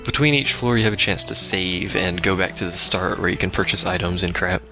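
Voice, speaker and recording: A man's voice: sits low, a little fast. A man giving casual, rambling live game commentary as a let's play host, with wry asides. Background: video game music.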